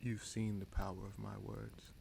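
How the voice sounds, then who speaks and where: A man playing a vengeful, brooding bastard prince and sorcerer in a fantasy audio roleplay, speaking in a measured, solemn, halting way. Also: fairly low pitch, completely flat delivery, vocal fry, background noise. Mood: solemn, earnest.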